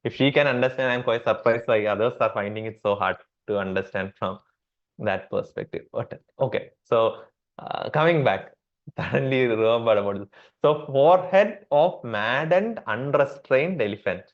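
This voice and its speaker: Indian man slowly explaining verses. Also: medium pitch, dull audio. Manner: slow, repeats terms, many fillers. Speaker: teacher of Sanskrit verses. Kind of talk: explanatory class lecture.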